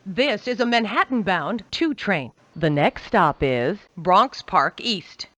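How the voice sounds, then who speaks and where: A woman giving recorded train announcements in a measured, formulaic announcer delivery. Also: mid-range voice, lively intonation, fairly bright tone, dry recording. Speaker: transit announcer.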